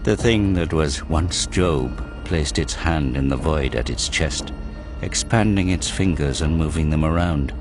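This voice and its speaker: A man with a low voice narrates an action-laden audio drama. The reading is scripted and dramatic, set over clearly audible music. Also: lively intonation, unhurried.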